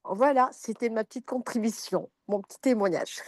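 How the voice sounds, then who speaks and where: A woman's voice: fairly high pitch, moderate pace, no echo, studio quality. A woman sharing a hesitant, friendly customer testimonial on a video call. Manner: hesitant, many fillers and restarts, friendly.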